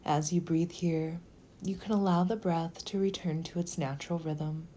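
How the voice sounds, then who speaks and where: A woman leading a guided meditation in a slow, gentle, soothing manner, with phrases sometimes softening toward a whisper. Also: lowish voice, steady tone, a little hiss or hum.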